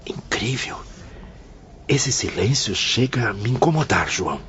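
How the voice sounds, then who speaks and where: A man as a reverent spirit companion, very deep, muffled with music. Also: sing-song, a little slow, noisy background. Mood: awed. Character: awed male spirit companion, devout. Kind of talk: audio drama acting.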